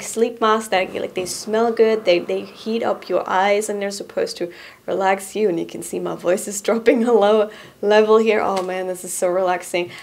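A young woman hosting a casual product review to camera in a small studio, bubbly and giggly, sometimes easing into a softer, relaxed tone. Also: fairly high pitch. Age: young adult. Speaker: host presenting shop items. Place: small indoor studio room. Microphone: boom-arm studio mic in front.

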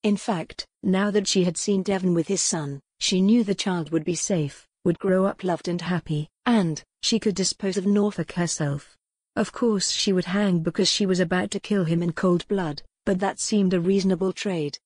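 A woman audiobook narrator reads historical romance as dramatic, scripted storytelling. Her voice sits a little low. The sound is dry and free of room echo. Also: moderate pace, a little mellow, breathy edge.

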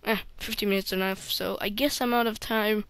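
A woman's voice: mid-range voice, steady tone, a little fast. A woman hosting a game playthrough, rambling and often dropping to a mumble. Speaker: let's play host. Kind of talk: gameplay commentary.

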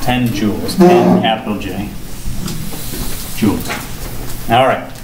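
A man's voice: lowish voice, slow. A young man teaching a physics lesson at a whiteboard, questioning students as he gestures and explains. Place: classroom with whiteboard, indoors. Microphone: far camera across the room. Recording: echoey classroom, faint noise.

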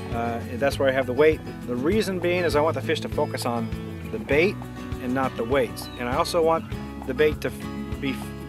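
A middle-aged man teaching a fishing technique from a small boat on a lake, in a relaxed, practical way, often restarting phrases as he demonstrates. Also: mid-range voice. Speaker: fishing instructor. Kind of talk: outdoor how-to demonstration.

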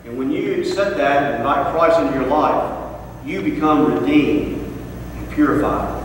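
Middle-aged pastor preaching slow, fairly high voice, big echoey church, heard from far back. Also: male voice. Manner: preaching with repeated lines and pitch swells. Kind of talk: sermon. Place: large church sanctuary, at a pulpit. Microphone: far camera, pulpit microphone. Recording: echoey hall from far off, faint noise.

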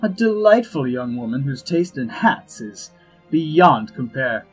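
An actor playing an urbane, wry, scheming ambassador in a fantasy audio drama, droll, precise and pompous, with a husky voice. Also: male voice, mid-range voice, very animated, measured pace, rough recording. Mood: smooth, calculating. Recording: music behind the voice.

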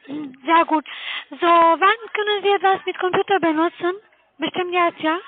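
Very high-voiced foreign woman on the phone. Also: unhurried, dry recording. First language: not German. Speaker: cold caller selling online investments. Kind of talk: phone sales pitch. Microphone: telephone call. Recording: muffled narrowband phone line.

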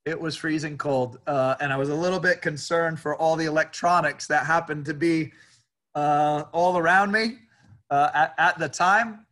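A male college soccer head coach answering questions at a press conference, haltingly, repeating words as he thinks aloud. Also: on the high side, fairly even intonation, fairly bright tone.

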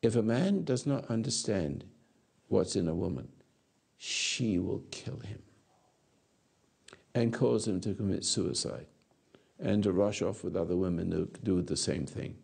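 A man in his eighties giving a rambling philosophical talk to an audience on a lapel mic, halting and repeating his words. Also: low voice, expressive, vocal fry, darkish tone, husky. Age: 80s. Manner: gestures with hands while talking. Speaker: speaker answering audience. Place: dark stage or studio.

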